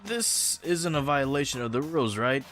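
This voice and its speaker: A man's voice: mid-range voice, expressive, normal speed, a bit bright, soft background music, lightly acted. A man playing a casual, questioning, easygoing teenage boy in an anime game fan dub. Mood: casual puzzled.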